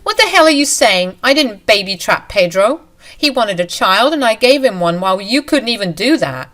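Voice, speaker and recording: A woman reading a story aloud, voicing a manipulative young mistress who sounds shrill, accusing and self-pitying. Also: expressive, moderate pace, fairly bright tone, natural voice. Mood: indignant.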